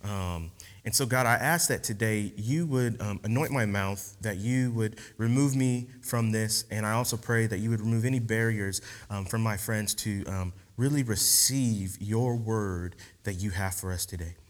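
A man's voice: lowish voice, moderate pace. Man preaching in an echoey hall. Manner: relaxed, slangy, animated teaching with many fillers. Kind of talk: casual sermon with opening prayer. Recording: long hall reverb.

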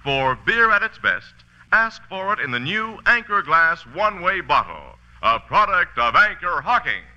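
A man's voice: sits high, sing-song, normal speed, sharp tone. An American man announcing for 1940s radio, with a polished, emphatic sales delivery. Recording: dull vintage radio recording, faint music.

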